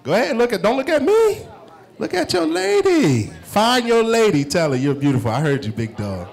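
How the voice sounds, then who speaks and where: A man's voice: high voice, huge pitch swings, normal speed, gravelly, soft background music. An American man, a singer, chatting informally to his audience through a handheld microphone and coaxing them to join in. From New Jersey, United States. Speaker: singer performing live. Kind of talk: casual stage banter with the audience. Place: indoor restaurant or bar in front of an audience.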